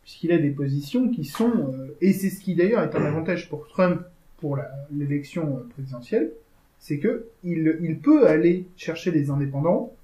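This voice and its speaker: A man explains political strategy in a measured way, pausing now and then. His voice is fairly high and mellow. The room around him echoes. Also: animated. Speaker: co-host. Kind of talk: casual discussion.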